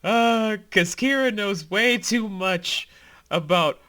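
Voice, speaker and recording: Male director-host, higher register, sharp and very bright tone, excitable casual commentary. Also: slow pace. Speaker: host and director of a fantasy audio series. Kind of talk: casual behind-the-scenes commentary.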